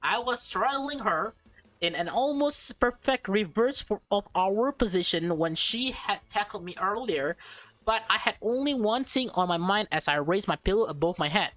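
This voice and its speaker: A woman's voice: medium pitch, lively intonation, normal speed, fairly bright tone, no echo, soft background music, poor audio. A woman reading a visual novel aloud as a let's player, stumbling over and repeating words, with slangy, casual reactions.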